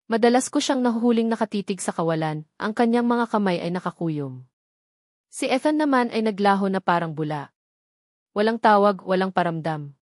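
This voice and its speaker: A woman with a Filipino accent reading a scripted first-person dramatic story in an earnest, measured storytelling manner. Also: medium pitch, darkish tone, no echo, studio quality. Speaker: story narrator.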